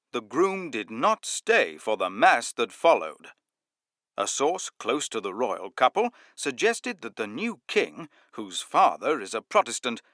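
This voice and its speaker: A man's voice: mid-range voice, bright, forceful tone, no echo, clean studio sound. A man playing a formal, grave news reporter who declaims royal news in an audiobook.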